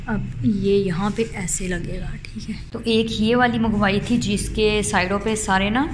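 A woman's voice: mid-range voice, background noise. A woman, chatting casually as she shows things around her home in a rambling, filler-heavy way. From Pakistan. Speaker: home vlogger. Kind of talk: casual home review vlog. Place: rooms of a home, indoors. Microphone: phone camera held by the speaker, off-screen.

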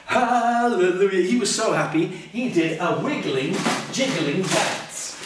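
A man's voice: high-pitched, lively intonation, takes it slowly. An older man reading a children's storybook aloud theatrically, with exclamations and playful asides. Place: indoor curtained stage. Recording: echoey hall, distant mic, faint noise.

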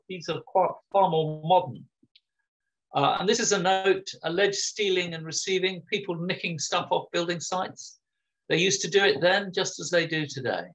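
A man's voice: on the high side, a bit bright. A man, giving an informal illustrated local history talk over a video call, chatty with frequent ums and restarts. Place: home room. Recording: dull lo-fi video call audio.

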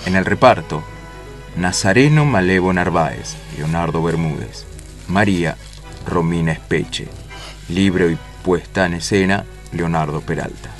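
A man's voice: deep voice, a little slow. A man reading scripted dramatic narration as the announcer of a play. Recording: music behind the voice.

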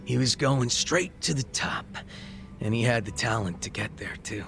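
A man voicing a laid-back, wistful gang leader in his thirties in a video game, reflective, unhurried and casually profane, with a gravelly voice. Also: medium pitch, expressive, music in the background. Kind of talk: video game cutscene dialogue acting.

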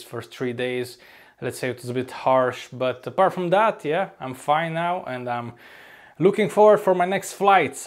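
Upbeat young man, slightly hoarse. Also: a little high. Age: young adult. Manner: chatty, upbeat, often says "ladies and gents". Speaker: vlogger, flight crew member. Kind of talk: casual vlog to camera. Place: apartment room, indoors. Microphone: lapel mic.

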